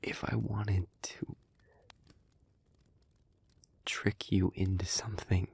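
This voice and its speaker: A man playing an earnest, guilt-ridden demon in love, speaking in a hushed, breathy, halting voice in an intimate audio roleplay. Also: sits low, little pitch movement, full character voice. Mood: pleading, earnest. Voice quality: breathy, husky, whispery. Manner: slow, halting, hushed delivery with pauses.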